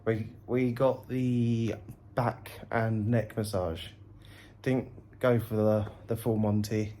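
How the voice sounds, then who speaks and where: A man's voice: on the low side, steady tone, slow, mellow, a little hiss or hum. A man with a British accent, giving a casual recap as a travel vlogger.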